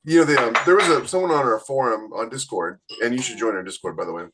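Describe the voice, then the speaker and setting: A man co-hosting a writing podcast in casual chat, emphatic and brisk, with joking asides. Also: mid-range voice, lively intonation, heavy background noise. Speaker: podcast co-host.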